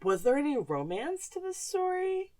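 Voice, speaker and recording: A woman's voice: high voice, sing-song, normal speed, dark tone. A woman co-hosting a podcast, chatting casually and asking questions in a blunt, emphatic way. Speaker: podcast co-host. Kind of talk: casual, candid chat and interviewing.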